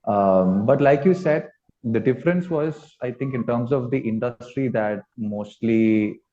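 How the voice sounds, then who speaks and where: A man's voice: medium pitch, measured pace, a little hiss or hum. A man talking casually as a band musician in an interview. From India. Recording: slightly dull, muffled audio.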